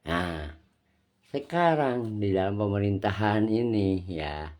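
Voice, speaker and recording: A man from Indonesia with a husky voice, giving an impromptu political monologue in an emphatic, scolding, lecturing manner. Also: on the low side, animated, slow pace, a little mellow. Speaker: nationalist commentator.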